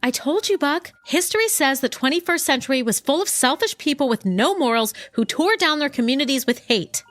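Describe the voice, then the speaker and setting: A woman's voice: high-pitched, animated, brisk, a bit bright, faint music behind. An actress playing an earnest heroic young woman in an eager 1930s radio serial style.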